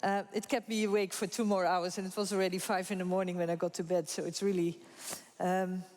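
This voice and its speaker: A woman's voice: lowish voice, level tone, fairly quick, darkish tone. A woman moderating a conference panel, chatty and informal, laughing easily. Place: conference room with audience. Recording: reverberant conference hall.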